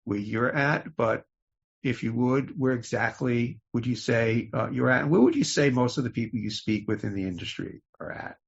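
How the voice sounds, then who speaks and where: A man's voice: mid-range voice, lively intonation, normal speed, creaky, slightly rough. An older man hosting a podcast, putting questions to his guest in a conversational way. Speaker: podcast host. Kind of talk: conversational interviewing. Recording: dull, muffled lo-fi audio.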